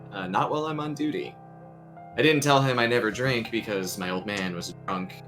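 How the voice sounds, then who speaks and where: Deep voiced guy reading a creepy story out loud, guitar music under him. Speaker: story reader. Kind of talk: narrating a creepy story aloud.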